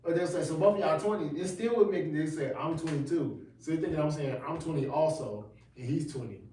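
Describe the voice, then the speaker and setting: A man's voice: a little high, a little fast, mellow. A man in his thirties, a gospel rapper talking casually in a livestream interview, rambling with slang and many restarts. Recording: echoey room, distant mic, faint noise.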